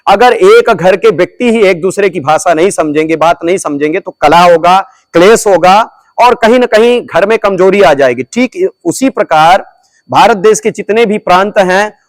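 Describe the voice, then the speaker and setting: High-voiced preachy religious man. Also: no room sound. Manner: declamatory, repeats phrases for emphasis. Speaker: religious guru being interviewed. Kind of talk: preachy interview answers.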